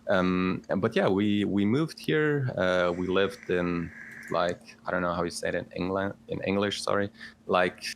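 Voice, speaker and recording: A man's voice: low voice, measured pace, vocal fry, slight background noise. A man in his thirties with a Bosnian accent, chatting casually as a podcast guest, rambling with many fillers and restarts. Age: 30s. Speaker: guest content creator.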